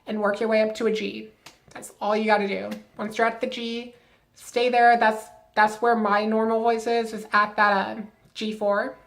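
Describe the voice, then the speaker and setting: Young woman vlogger, a touch high-pitched, chatty and casual, giving upbeat tips. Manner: chatty, lots of "like" fillers, upbeat advice-giving. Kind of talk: casual to-camera vlog.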